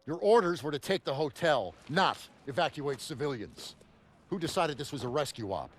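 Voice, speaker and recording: A man's voice: a little high, expressive, normal speed. A man playing a stern commanding officer who briefs and reprimands his troops. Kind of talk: game cutscene acting.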